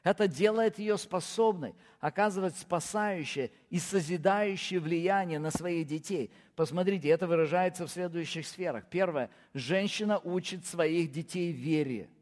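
A man's voice: sits high, moderate pace, hardly any pauses, darkish tone, big-room sound. A man, a pastor, preaching a sermon and counting off his points with firm, rising emphasis.

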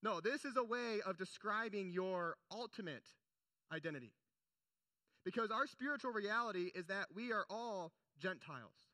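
Bright, high-voiced young male preacher. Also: lively intonation, moderate pace, dry recording. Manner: earnest, conversational preaching with asides. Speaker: pastor. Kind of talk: sermon.

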